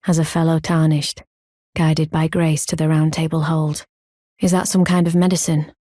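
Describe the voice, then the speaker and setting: A proud, earnest fantasy game warrior, with a lowish, dark and mellow voice. She speaks solemnly in formal, archaic phrasing, measured and stoic. The sound is dry and close. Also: flat delivery, no echo. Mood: composed, formal, then firm, proud. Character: proud, earnest woman warrior, grown, stoic and honour-bound. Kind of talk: video game character acting, formal archaic speech.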